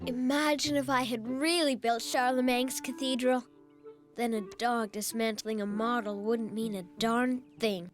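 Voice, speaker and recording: A woman's voice: extremely high pitch, animated, slow, fairly bright tone, soft background music, full character voice. A woman voicing a dramatic, imaginative schoolgirl in a cartoon, speaking in grand, theatrical language. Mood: wistful, dreamy. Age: child character. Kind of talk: animated series voice acting.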